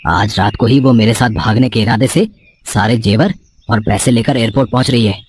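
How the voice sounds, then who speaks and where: A man's voice: fairly low pitch, a little fast, slightly rough. A man voicing a sly young swindler in a moral story, boastful, cocky and slangy. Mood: smug, scheming.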